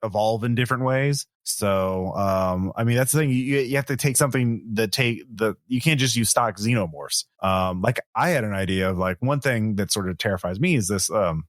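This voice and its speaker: A man hosting a podcast as a game master, talking casually through his thoughts on a tabletop game in a halting, rambling way. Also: fairly low pitch, dry recording, studio quality. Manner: halting, rambling, thinking aloud.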